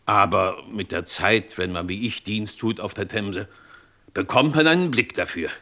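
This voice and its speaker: An actor playing a dutiful, respectful river police constable, giving a measured, deliberate report in a German radio crime drama. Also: male voice, fairly low pitch, pushed and bright. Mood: hesitant, thoughtful. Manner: deferential, measured witness report.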